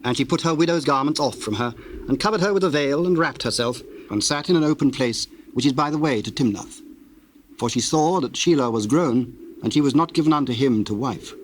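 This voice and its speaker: A man's voice: medium pitch, brisk. A man narrating a dramatized scripture reading in a solemn, storytelling style. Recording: hissy old cassette tape, birdsong behind.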